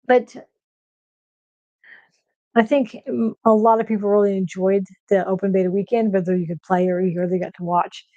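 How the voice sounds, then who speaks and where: A woman with a soft nasal voice chatting casually on a livestream from her kitchen, with lots of fillers and restarts. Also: medium pitch, fairly even intonation, normal speed, long pauses, no echo, highs cut off. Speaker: streamer host. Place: home kitchen.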